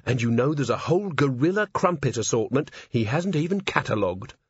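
A man's voice: medium pitch, expressive, brisk, husky, no room sound. A man voicing an earnest, cheerfully oblivious young male watchman. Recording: slightly dull lo-fi audio.

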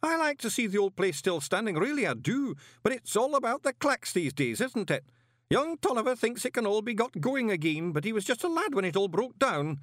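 A man's voice: sits high, big pitch swings, brisk, a bit bright, no room sound, studio quality, pitched above the performer's own voice. A man voicing a chatty old male lodge master with a folksy manner. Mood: wistful.

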